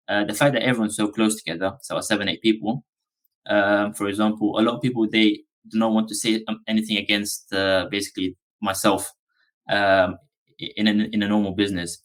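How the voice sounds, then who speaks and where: A man from Afghanistan, a business founder being interviewed on a podcast, talking in a relaxed, rambling way with many ums and uhs. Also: fairly low pitch, low-quality recording.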